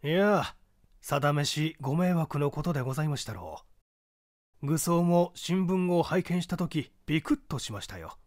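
Storybook role: big, solemn temple priest, done by a male narrator. Formal, preachy, slightly raised pitch, dry close sound. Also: lively intonation, moderate pace, no room sound, close to an everyday voice. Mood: sympathetic, relieved. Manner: formal, preachy, old-fashioned speech. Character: burly Buddhist head priest, solemn, admonishing man.

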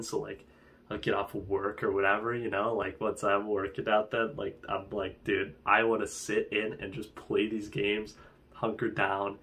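Young man, casual vlog chat, rambling with fillers, low voice, flat delivery, small room. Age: young adult. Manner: chatty and rambling, heavy fillers. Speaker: fitness vlogger. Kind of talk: casual talking-head vlog. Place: small indoor room with wood-panel wall.

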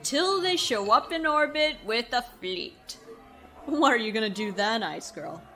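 A woman's voice: a little high, lively intonation, a little slow, sharp tone, soft background music, exaggerated voice. A woman playing a breezy, tipsy young student party-goer in an audio drama, with slangy, drawling talk. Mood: tipsy, exasperated. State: drunk.

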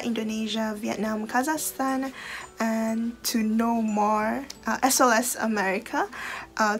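A young woman hosting her channel, talking to camera in a chatty, cheerful way from a small bedroom. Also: a little high. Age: young adult. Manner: cheerful, chatty, loose restarts. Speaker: host and interviewer. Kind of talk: casual vlog intro.

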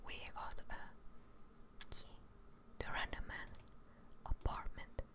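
A young woman whispering ASMR game commentary in short, muttered reactions, close to a studio microphone. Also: slow. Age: young adult. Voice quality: whispered, breathy. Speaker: gaming ASMR streamer. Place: indoors at a streaming setup. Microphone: close studio microphone with headphones.

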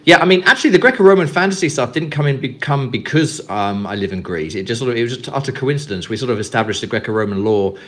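A man's voice: medium pitch, expressive, quick pace, few pauses, a bit bright. English man, company guest, loose rambling interview answers full of fillers. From England. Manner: rambling, many fillers and repeated words. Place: Greece.

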